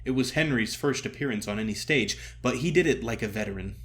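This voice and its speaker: A man narrating a classic story as a one-man audio drama, with theatrical, deliberate phrasing. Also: mid-range voice, fairly bright tone.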